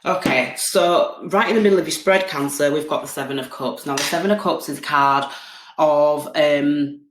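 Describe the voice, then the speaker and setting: A woman's voice: low voice, normal speed, noisy background. Chatty British woman with a cold, echoey room. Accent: British English. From United Kingdom. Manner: chatty, repeats "okay?" and "um" often. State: has a cold, sniffly. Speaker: tarot card reader. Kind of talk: casual tarot reading to camera.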